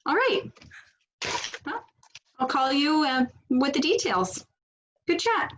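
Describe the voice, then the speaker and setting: Woman acting a high, exasperated, organized activist leader. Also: animated, slow pace, highs cut off, noisy background. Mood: resigned, casual. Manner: pointed, sarcastic, emphatic. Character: organized, exasperated, bossy female protest organizer. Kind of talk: comedic stage acting over video call. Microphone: video call microphone.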